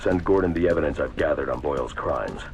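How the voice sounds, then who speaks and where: A man playing a grim masked vigilante detective in a video game, speaking in a gravelly, terse, stern way. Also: very deep voice, fast, a little mellow, slight background noise. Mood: grave, explanatory. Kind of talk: dramatic video game voice acting.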